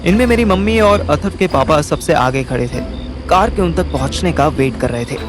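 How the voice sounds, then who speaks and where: A man playing a wry, quick-witted young man with an Indian accent, narrating and acting in a first-person audio drama. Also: on the high side, music in the background, lightly acted. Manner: animated, colloquial, mixes in English.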